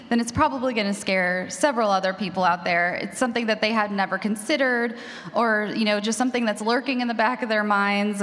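A woman's voice: mid-range voice, fairly bright tone. Woman panelist in an echoey hall. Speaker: panelist, horror podcaster. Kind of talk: casual panel talk. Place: large indoor conference session room. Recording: echoing hall, faint background noise.

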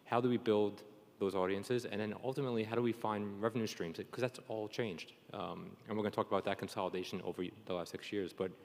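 A man's voice: fairly low pitch, fairly even intonation, fast talker. A man in his thirties moderates a live panel informally, chatty and jokey. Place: on stage at a conference session. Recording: reverberant conference room sound.

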